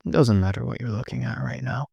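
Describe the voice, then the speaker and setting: A man leading a guided meditation in short, gently instructive phrases with long pauses between them. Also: medium pitch, sing-song, gravelly, slightly rough, no echo, lo-fi sound.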